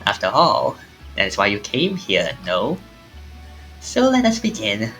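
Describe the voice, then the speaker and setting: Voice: medium pitch, huge pitch swings, a little slow, fairly bright tone, soft background music, pitched below the performer's own voice, heavily acted, rough recording. A streamer reading a mysterious, courteous narrator of ghostly tales aloud, in a formal, theatrical manner with small stumbles. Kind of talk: reading visual novel dialogue aloud.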